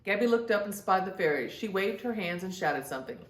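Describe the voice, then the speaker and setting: A woman tells a story for children in a roomy, echoing space. Her pitch sits in the middle for a woman. She reads expressively, as a storytime host speaking to young kids. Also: expressive, brisk. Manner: addresses young children directly, acts out dialogue.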